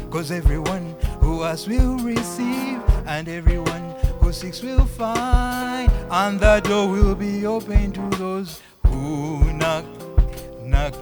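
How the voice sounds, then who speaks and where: Male poet-singer, Ugandan accent, high-pitched and unhurried, chanting theatrically over live music. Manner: chanting, repetitive, theatrical delivery. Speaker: spoken word performer, singer. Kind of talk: live spoken word poetry with singing.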